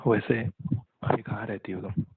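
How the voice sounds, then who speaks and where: A young man speaks in a low, husky voice with very little melody. He sounds halting and resigned, as in a private conversation over a narrow phone line. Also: fairly even intonation. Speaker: caller talking with an ex-partner. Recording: muffled narrow-band phone call, echoey.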